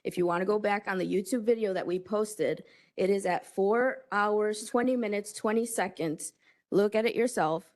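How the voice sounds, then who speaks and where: A woman county board member giving a pointed, forceful prepared statement at a public meeting. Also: medium pitch, moderate pace, no room sound, studio quality.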